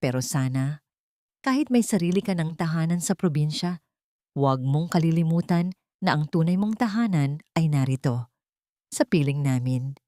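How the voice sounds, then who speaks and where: A woman voicing a tender, earnest man in love, speaking softly and sincerely in an audiobook drama. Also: sits low, lively intonation, normal speed, dry recording, studio quality. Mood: soft, reassuring. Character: tender, earnest man proposing to his beloved.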